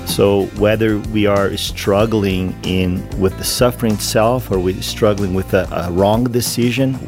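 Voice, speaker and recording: A man, an associate pastor speaking as a guest, talking slowly and earnestly with pauses. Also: low-pitched. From Brazil. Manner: slow, searching, with pauses and fillers. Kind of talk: earnest conversational testimony.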